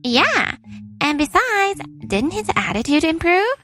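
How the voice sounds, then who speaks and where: A woman's voice: very high voice, very animated, a little slow, pushed and bright, soft background music, exaggerated voice. A woman playing a breezy, dismissive best friend in her thirties in a dubbed story.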